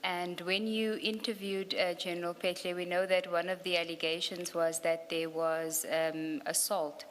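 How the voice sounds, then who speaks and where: A woman leading evidence at a formal hearing, asking polite, measured questions. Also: fairly low pitch, little pitch movement, fairly bright tone. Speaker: evidence leader at a commission hearing. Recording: reverberant hearing room, faint noise.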